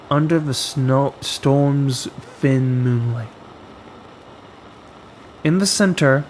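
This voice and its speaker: A man narrates a horror story at an extremely slow pace, breaking his sentences into short, dramatic pieces with deliberate pauses. His pitch sits in a middle male range. A faint, steady hiss and hum runs behind his voice. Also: low-quality recording.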